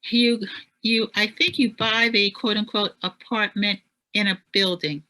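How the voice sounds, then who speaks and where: Woman, workshop attendee, bright voice, hesitant plain questions. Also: steady tone, unhurried, low-quality recording. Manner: says she has a high voice.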